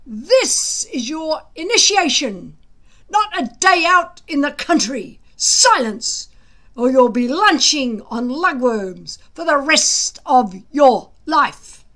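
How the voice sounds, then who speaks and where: A woman's voice: high-pitched, big pitch swings, bright, forceful tone, voice pushed up, full character voice. Woman putting on a bellowing, blustering Viking warrior man. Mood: barking orders. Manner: bellowing, sarcastic, drawn-out emphatic words. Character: gruff, blustering, bullying Viking warrior man, drill instructor.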